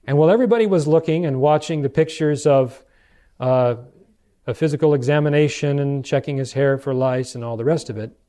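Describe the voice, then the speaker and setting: A man with an American accent preaching in a lecturing style, reading out quotations and putting rhetorical questions to his listeners with deliberate emphasis. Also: a little high, dark tone. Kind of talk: sermon lecture with read-out quotations.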